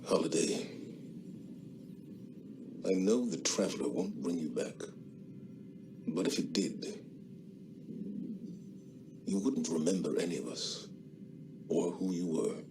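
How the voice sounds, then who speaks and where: A slow, gravelly, grieving commander. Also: male voice, low voice, expressive, noisy background, full character voice. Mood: solemn, grieving. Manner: solemn, measured, hushed and breathy at times. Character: grave, grieving male commander honouring a fallen friend. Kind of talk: dramatic video game eulogy.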